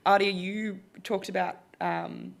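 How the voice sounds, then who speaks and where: A woman's voice: medium pitch, steady tone, slow, vocal fry. Encouraging woman moderator. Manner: encouraging, asks questions. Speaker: panel moderator. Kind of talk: panel discussion.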